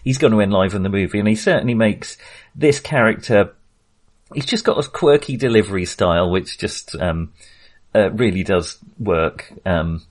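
A middle-aged man with a fairly low voice chats casually as a film podcast co-host. He speaks haltingly, circling back and restarting as he goes. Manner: hesitant, halting delivery with false starts; chuckles often while talking.

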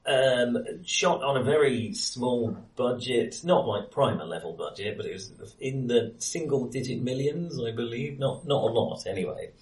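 A man hosts a casual film podcast in a mid-range voice. His delivery is halting, as he feels around for the right word. The recording is roomy and echoey, with him sitting some way back from the microphone. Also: medium pitch, lively intonation. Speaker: podcast host. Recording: roomy echo, distant from microphone.

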